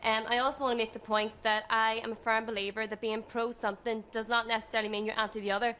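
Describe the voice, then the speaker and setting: A woman's voice: fairly high pitch, little pitch movement, quick pace, bright, forceful tone, smooth. A woman making an earnest point as an audience member. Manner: earnest, emphatic. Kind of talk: audience comment.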